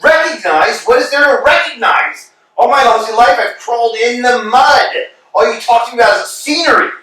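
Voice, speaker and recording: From off the mic in a very echoey room comes a man playing a weary stage-play tramp. He is forgetful and bitter, grumbling in a theatrical way. The voice is bright and edgy. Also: extremely high pitch, normal speed, distant mic. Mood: bitter, exasperated. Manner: grumbling, dramatic. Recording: echoey room, picked up from afar.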